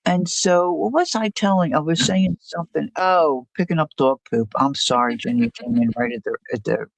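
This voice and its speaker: Older woman host, low voice, rambling chatty storyteller, dry close mic. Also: normal speed, gravelly, no echo, poor audio. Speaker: livestream host. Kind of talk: casual chat.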